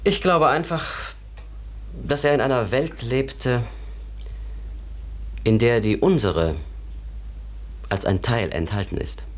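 An actor playing a philosophical, thoughtful companion in a German radio drama, explaining things in a deliberate, contemplative way. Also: male voice, on the low side. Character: philosophical man pondering another world, thoughtful companion.

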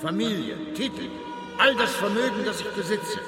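An actor in a German radio drama playing a grandiose spiritualist lecturer, declaiming fervently with emphatic pauses. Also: male voice, sits high, natural voice. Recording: echoing hall, loud music and crowd.

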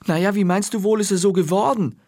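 A man doing a whiny excitable little boy. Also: fast talker, no echo, studio quality. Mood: pleading. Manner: high, pleading kid voice. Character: cheeky nine-year-old boy, whiny and excitable.

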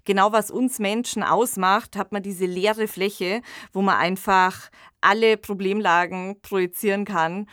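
A woman speaks as a podcast co-host. Her voice sits at an average pitch, and she explains ideas in a reflective, conversational manner. The sound is dry, with no room around it. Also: fairly even intonation, unhurried, fairly bright tone, no echo.

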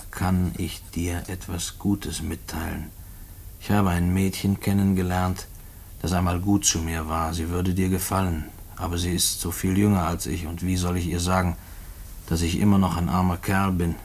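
An actor in a German radio play playing a gruff, despairing convict who speaks colloquially and haltingly. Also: male voice, deep voice, a little mellow, a little hiss or hum. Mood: tender, subdued. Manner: colloquial, halting, repeating himself when agitated. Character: gruff, despairing convict writing letters to his mother.

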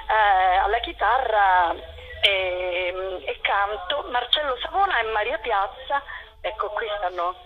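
A woman giving a conversational interview as a theatre guest, phrasing her thoughts in a halting, reflective way over a dull link. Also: on the high side. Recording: dull radio or phone link.

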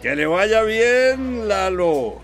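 A male village gossip with a high, creaky voice and a Central American accent. He drawls very slowly and teasingly, mocking everyone in radio drama style. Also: sing-song, a bit bright, heavily acted. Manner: chuckling, teasing, drawn-out delivery.

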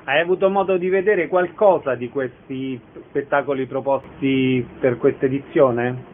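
A man's voice: mid-range voice, fairly even intonation, normal speed, a little hiss or hum. A man hosting a radio interview, introducing and putting short questions to a guest. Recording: muffled, lo-fi radio audio.

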